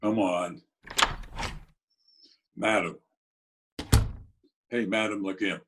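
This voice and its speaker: Tough New gangster for radio drama, played by a man: very low, unhurried, commanding. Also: fairly even intonation, noisy background. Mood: urgent, insistent. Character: Dave the Dude, tough but helpful New York gangster. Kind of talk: old-time radio drama acting. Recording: slightly rough remote video-call audio.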